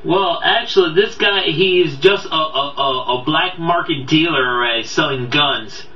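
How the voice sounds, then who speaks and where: A man with a fairly high voice talks through a casual, unscripted review. He rambles, trips over words and repeats himself. The recording is echoey and distant, with music playing behind him. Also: normal speed, bright, forceful tone. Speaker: amateur video game movie reviewer. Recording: boxy echoey room, distant dull mic, background audio.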